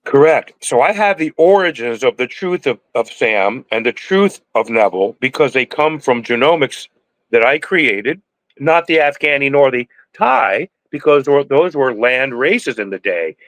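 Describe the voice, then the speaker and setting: A man's voice: mid-range voice, animated, measured pace, a bit bright, dry recording, rough recording. Rambling man in his sixties, dry close sound. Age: 60s. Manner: rambling, preachy, lots of restarts. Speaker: podcast guest, cannabis breeder. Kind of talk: casual interview talk.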